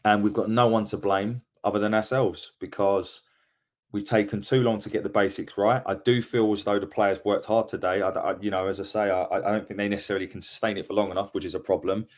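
A man's voice: on the low side, fairly even intonation, brisk. A man, hosting a solo post-match football reaction podcast from home on a webcam, giving frank opinions and often repeating words as he corrects himself. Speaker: podcast host.

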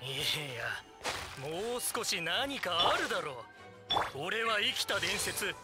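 A man's voice: sits high, big pitch swings, takes it slowly, loud music behind the voice, full character voice. An actor playing a boastful middle-aged male adventurer in a video game, speaking in a pompous, theatrical way. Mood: indignant boasting. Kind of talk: Japanese video game voice acting.

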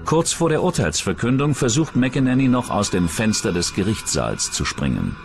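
Deep-voiced male documentary narrator over music. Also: very animated, normal speed, vocal fry. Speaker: true-crime documentary narrator.

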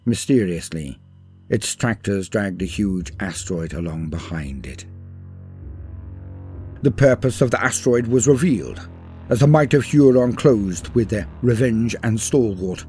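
A man reading a scripted war story as a dramatic narrator, grave and theatrical, in measured phrases. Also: lowish voice, animated, mellow. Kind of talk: dramatic scripted lore read-through. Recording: voice-over with music behind. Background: music.

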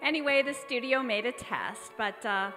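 A woman's voice: a little high, normal speed, close to an everyday voice. A woman playing a candid, earnest young script reader in a stage radio drama, with sincere delivery. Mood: light, playful. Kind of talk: old-time radio drama acting on stage. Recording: echoey theater hall, music behind.

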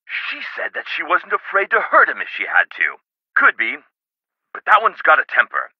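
An earnest businessman who runs a research lab in a video game. His delivery is conversational and emphatic, with extremely mobile intonation at a medium pitch. The audio is close and dry, slightly dull on top. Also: wildly swooping pitch, moderate pace, husky, no echo, exaggerated voice. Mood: suspicious, confiding. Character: earnest businessman lab owner, troubled and guarded.

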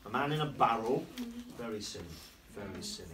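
A middle-aged headmaster reading a picture book aloud in a classroom, playfully asking the children questions and slowing down on the rhymes. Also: male voice, mid-range voice, expressive. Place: primary school classroom, indoors. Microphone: camera across the room. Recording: distant camera, noisy classroom.

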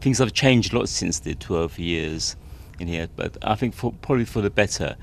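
A man's voice: fairly low pitch, lively intonation, moderate pace, vocal fry, slight background noise. A man interviewing a diplomat for radio, asking thoughtful, probing questions in a room.

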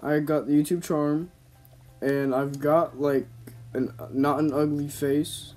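A man's voice: on the high side, steady tone, takes it slowly, a little mellow, faint music behind. A man giving casual, rambling gameplay commentary in slangy, informal speech, as a gaming YouTuber. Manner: slangy, informal, talking to himself and viewers.

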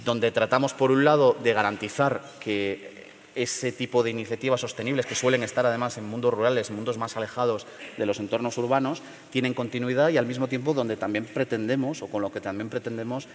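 A middle-aged man from León in Spain, speaking at a panel forum in a measured, hesitant way with many fillers and restarts. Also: mid-range voice, fairly even intonation, in a large hall. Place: large theater stage.

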